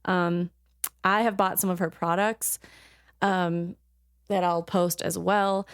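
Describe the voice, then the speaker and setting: A woman co-hosting a podcast in casual chat, halting and full of ums as she looks things up. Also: on the low side, level tone, smooth, no echo, clean studio sound. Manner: chatty, halting, many ums while searching notes. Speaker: podcast co-host.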